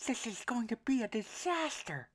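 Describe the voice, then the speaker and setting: A woman voicing a sensitive, timid little boy duckling in a storybook, in a high, childlike, earnest voice. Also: very animated, normal speed, husky.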